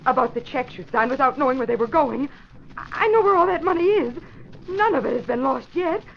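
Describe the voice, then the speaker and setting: A woman's voice: sits high, lively intonation, brisk, creaky. A woman playing a young, selfish, scheming femme fatale, desperate and melodramatically pleading, in 1940s radio crime drama. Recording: muffled, noisy vintage radio recording.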